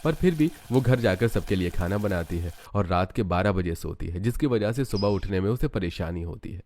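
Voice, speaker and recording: Low-voiced man narrating a cartoon story. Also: lively intonation, moderate pace, darkish tone, slight background noise.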